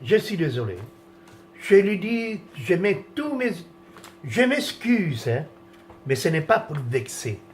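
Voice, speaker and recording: A man from France with a slightly raised pitch, venting about politics in a loose, rambling way. He is indignant, restarts often, and lets phrases trail off. A faint ambient hum sits behind him. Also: very animated, slow.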